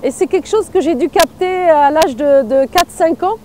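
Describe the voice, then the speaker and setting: A middle-aged woman from Morocco who is an artist, speaking thoughtfully in an interview with frequent hesitant pauses. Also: sits high, a little mellow, a little hiss or hum. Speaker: artist and cultural organizer. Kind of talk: reflective interview.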